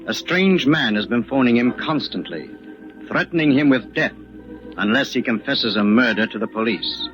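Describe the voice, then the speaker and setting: A man's voice: medium pitch, fairly bright tone, lo-fi sound. A man narrating a 1940s radio thriller in a grave, dramatic, suspenseful scripted style. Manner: grave, measured suspense delivery.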